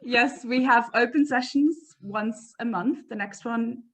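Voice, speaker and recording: A woman's voice: a little high, a little slow, fairly bright tone. A woman whose first language is German, chatting at length on a video call about her work teaching improv. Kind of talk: casual chat on a video call.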